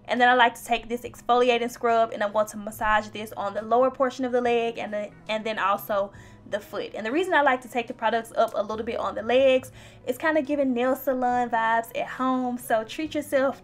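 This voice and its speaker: A chatty, playful young woman with a slightly high voice narrates her own vlog and talks straight to her viewers. Her voice-over sits over faint background music. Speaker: beauty vlogger.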